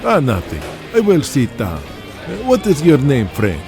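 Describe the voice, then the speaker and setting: A man's voice: mid-range voice, huge pitch swings, unhurried, music in the background. A man playing a worn-out, self-pitying Persian villain wrestler in an audio drama, broken English and theatrical swearing. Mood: grudging, muttering.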